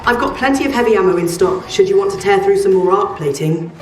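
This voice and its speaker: A woman's voice: mid-range voice, expressive, quick pace, lightly acted. Actress as a brusque female arms dealer, roomy sound. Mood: brisk. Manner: blunt, dry, casual. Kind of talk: scripted game quest dialogue. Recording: roomy, distant, faint music.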